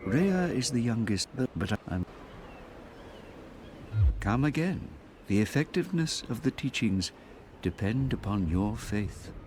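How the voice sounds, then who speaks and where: An actor playing a reserved, wary cleric in a video game, with a formal, measured manner. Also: male voice, mid-range voice, very animated, background noise. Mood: reserved, courteous. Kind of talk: video game character dialogue.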